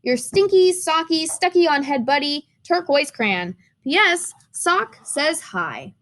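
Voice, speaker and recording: A woman's voice: high voice, very animated, slow pace, fairly bright tone, voice pushed up, heavily acted. A woman voicing a chatty, silly crayon stuck to a sock, reading its letter in a bouncy, pleading way.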